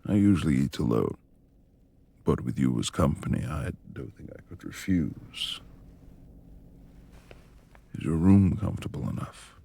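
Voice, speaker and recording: A man playing a brooding, reclusive beast of a manor lord in a romantic ASMR roleplay, gruff yet tender, with gravelly, breathy speech and formal old-fashioned phrasing. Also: a bass voice, lively intonation, a little slow, long pauses, dark tone, exaggerated voice. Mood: tender, courteous.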